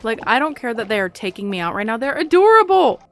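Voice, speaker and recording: A woman's voice: on the high side, very animated, quick pace. A young woman giving casual, playful game playthrough commentary in a gushing, self-interrupting way. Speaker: gaming video creator. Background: soft game music.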